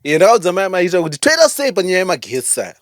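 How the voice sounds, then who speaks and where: Male podcast co-host, Zimbabwean accent, fairly high voice, casual talk with repeated phrases, dry studio-tight sound. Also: very animated, rapid-fire, no echo. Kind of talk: casual podcast chat, mixing Shona and English.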